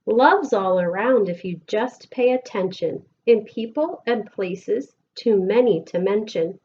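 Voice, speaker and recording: A woman with an American accent reads a picture book aloud to children with expressive, deliberate storybook phrasing, like a schoolteacher. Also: medium pitch, highs cut off, a little hiss or hum. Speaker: elementary school teacher reading aloud.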